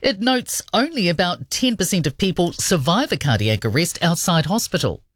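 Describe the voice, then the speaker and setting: A woman's voice: fairly low pitch, sing-song, moderate pace, no room sound, studio quality. Lilting New radio newsreader woman. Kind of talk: radio news and weather read.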